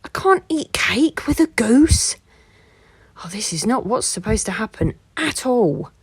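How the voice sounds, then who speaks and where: A woman voicing a grumpy, sulky male wolf who grumbles in a high, whiny way. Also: sing-song, a little slow, husky, no room sound, heavily acted.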